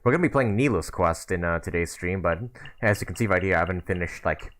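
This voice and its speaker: A man's voice: low-pitched, animated, fast, fairly bright tone. A man hosting a casual game livestream, rambling and thinking aloud as he chats to viewers. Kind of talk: casual livestream chat while playing a game. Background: game music.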